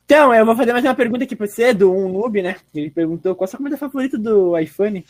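A high-voiced Brazilian man talking quickly, with big swings in pitch. He hosts a casual podcast, firing off questions and slangy, sweary, mocking banter. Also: lively intonation, no room sound, clean studio sound. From Paraná, Brazil. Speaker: podcast host reading questions.

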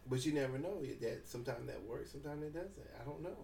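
A man's voice: a little high, lively intonation, quick pace, vocal fry. A man in his late forties chatting casually as a podcast co-host, teasing and blunt with slangy, profane banter, recorded off the mic in a noisy room. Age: late 40s. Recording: roomy, distant mic with background noise.

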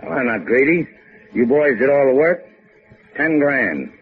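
An American actor playing a cool, wisecracking adventurer in a 1950s radio drama, with a sardonic, slangy delivery. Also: male voice, mid-range voice, lively intonation, a little slow, faint music behind. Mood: wry bargaining. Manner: sardonic, casual drawl with tough-guy slang. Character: wry, cool, wisecracking boat-owning hotel keeper adventurer. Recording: muffled, phone-like old radio recording.

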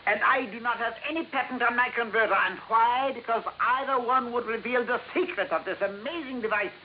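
A secretive, hostile scientist in a vintage radio drama. His voice sits very high, with an imperious, stilted delivery. The recording is dull, with faint noise. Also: a little fast. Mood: haughty, suspicious. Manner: pompous, imperious, stilted formal phrasing. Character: hostile, boastful, secretive inventor guarding his laboratory. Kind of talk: 1950s radio acting.

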